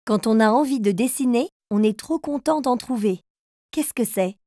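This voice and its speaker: A woman's voice: a little high, moderate pace, mellow, a little hiss or hum, natural voice. A woman playing a patient, encouraging mother figure who tells riddles to young children in a cartoon. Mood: playful, teaching.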